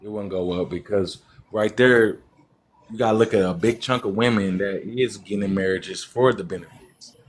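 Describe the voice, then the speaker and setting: Deep-voiced emphatic male caller. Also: normal speed, slight background noise. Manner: emphatic, repetitive, preachy. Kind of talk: opinionated call-in talk.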